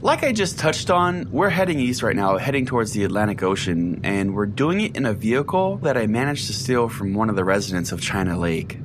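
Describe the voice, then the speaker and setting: A man's voice: fairly low pitch, very animated, a little fast, few pauses, noisy background. A man playing a self-important, sardonic conspiracy-preaching pastor who rambles chattily as host of an audio-drama show. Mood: smug storytelling. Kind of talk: scripted audio-drama monologue as a talk show.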